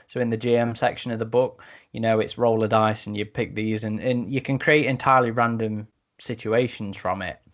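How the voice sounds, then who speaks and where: A man's voice: lowish voice, steady tone, fairly quick. A man talking casually as a podcast panelist, piecing his thoughts together with hesitant repetitions.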